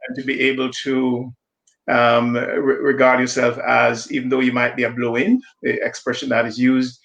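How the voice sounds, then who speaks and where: A man from Jamaica talks through a video call in a reflective, halting way, often circling back to restart a thought. His voice has a gravelly, creaky edge at an ordinary middle pitch. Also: level tone, a bit bright, reverberant, rough recording. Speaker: diversity consultant guest. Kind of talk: conversational live-stream discussion.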